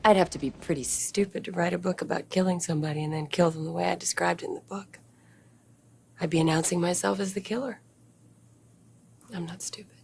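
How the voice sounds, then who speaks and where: Film thriller femme fatale: low, fry-edged, unruffled, provocative. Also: female voice, fairly even intonation, fairly quick, pauses often. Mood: cool defiance. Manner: unruffled, teasing, defiant. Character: cool, seductive woman novelist, provocative murder suspect. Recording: faintly noisy film soundtrack.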